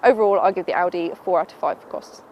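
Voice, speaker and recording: A woman, a car reviewer giving a brisk, matter-of-fact verdict on an in-car system. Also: on the low side, muffled recording. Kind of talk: product review.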